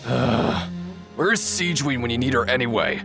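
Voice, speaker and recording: A man's voice: medium pitch, animated, moderate pace, vocal fry. A man voicing a sheepish, experienced work chief in a video game, casual and self-excusing. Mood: embarrassed. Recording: background music.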